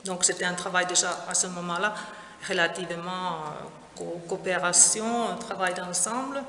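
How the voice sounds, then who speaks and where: Middle-aged woman, halting delivery full of pauses and restarts, slightly gravelly, echoey room with music behind. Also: lowish voice, steady tone, sharp tone, slight background noise. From Finland. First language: Finnish. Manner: hesitant, many fillers and restarts. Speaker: visual artist. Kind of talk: interview at her exhibition. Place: gallery space with sound installation playing. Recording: roomy echo, music in background.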